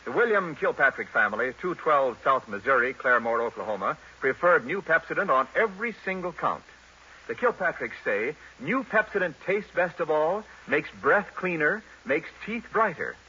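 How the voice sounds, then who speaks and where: A male announcer from 1940s radio. He is polished and emphatic, with a forceful, very lively voice pitched on the high side. The broadcast sounds muffled. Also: moderate pace, husky.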